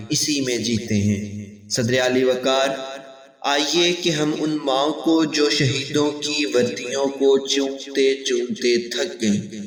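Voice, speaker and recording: A young man with a Pakistani accent declaims like a formal orator, impassioned and emphatic. His voice sits a little high and he takes his time, speaking very slowly with weighty pauses. The sound is strongly echoing, as in a large hall. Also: a bit bright. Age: young adult. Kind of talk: formal declamatory patriotic speech with recited verse. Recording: echoey reverberant hall sound.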